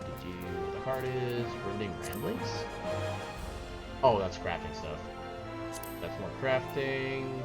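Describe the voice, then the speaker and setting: A man doing casual live gameplay commentary as a streamer, rambling and musing aloud to himself. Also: lowish voice. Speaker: game streamer. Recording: game music behind the voice.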